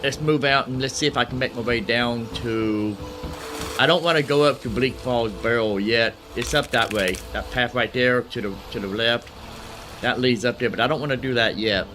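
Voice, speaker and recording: A man giving casual video game commentary as host of a roleplay playthrough, rambling and thinking out loud, often repeating his phrases. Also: mid-range voice, normal speed, noisy background.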